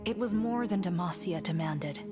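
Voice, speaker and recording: A woman playing a solemn female warrior who brings grave news of a fallen comrade, with measured, formal delivery in a motion comic. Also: on the low side, natural voice. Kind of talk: scripted dramatic narration in a motion comic. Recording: music under the voice. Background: music.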